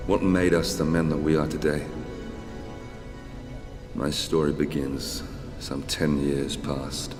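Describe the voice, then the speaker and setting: An actor playing a grave, battle-hardened Roman soldier, narrating his story solemnly in a deep, gravelly voice. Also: level tone. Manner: solemn, deliberate, restrained. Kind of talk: dramatic game narration. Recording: echoing hall with music.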